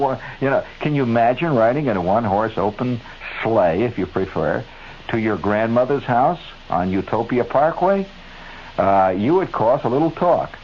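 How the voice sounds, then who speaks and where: A man's voice: mid-range voice, dull audio. A middle-aged American man hosting a radio show, telling a wry, digressive monologue and tossing asides to the studio crew. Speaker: radio host. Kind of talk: rambling late-night radio monologue. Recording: hissy 1970s AM radio broadcast.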